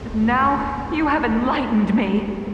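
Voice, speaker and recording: A woman's voice: a little high, takes it slowly, dull audio, background noise. A woman voicing a stern elder alchemist in a video game, a spectral dragon-race researcher who speaks in a formal, grandiose, scolding way. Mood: fervent, reverent. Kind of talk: dramatic video game voice acting. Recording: echoey hall reverb, distant, music behind.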